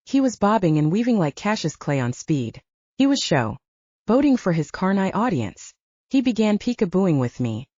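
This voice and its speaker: A woman's voice: on the low side, mellow, no echo. A woman narrating a scripted first-person story as an audiobook narrator, in a slightly dull recording.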